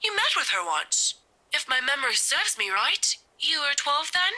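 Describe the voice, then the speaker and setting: Woman acting a high, bright, doting mother. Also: big pitch swings, normal speed, full character voice. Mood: fond, coaxing. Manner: affectionate, coaxing. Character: doting middle-aged mother, sweet, chatty, busy academic's wife.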